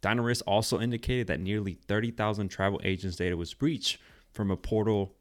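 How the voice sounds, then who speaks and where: A man co-hosting a casual tech news podcast, explaining news items in a steady way and laughing easily with his co-host. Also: on the low side, fairly quick.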